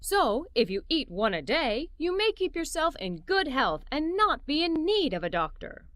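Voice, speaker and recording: A woman voicing a knowledgeable, good-humored father who explains things gently in a children's storybook. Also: sits high, very animated, moderate pace, dry recording. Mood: amused, informative.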